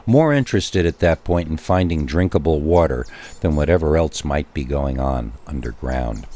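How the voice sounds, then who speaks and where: A man's voice: very deep voice, a little mellow. A man narrating a first-person scary story in a dramatic but conversational way, with wry asides to the listener.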